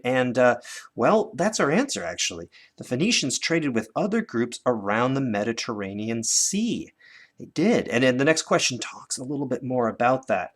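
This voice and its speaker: Animated, husky North American male teacher. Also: medium pitch. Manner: animated, guiding with questions as if to children. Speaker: teacher presenting a lesson. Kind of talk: conversational instructional demonstration for children. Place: indoors at a desk, hands-on demonstration filmed from above.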